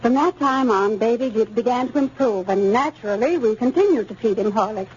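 A woman's voice: on the high side, a little fast, darkish tone, slightly rough. An actress playing an earnest, grateful mother in a 1930s radio advertising testimonial. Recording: noisy muffled 1930s radio recording.

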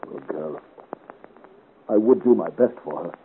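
An actor playing a gallant, bold young Breton adventurer in a radio serial, earnest and formally courteous. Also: male voice, low-pitched, animated, a little slow. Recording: noisy, narrow-band 1950 radio serial.

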